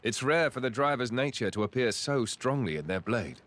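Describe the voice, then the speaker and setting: An actor playing a noble, earnest young prince in a video game, courteous, encouraging and polished in delivery. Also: male voice, medium pitch, lively intonation, moderate pace, fairly bright tone, close to an everyday voice. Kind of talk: video game cutscene acting.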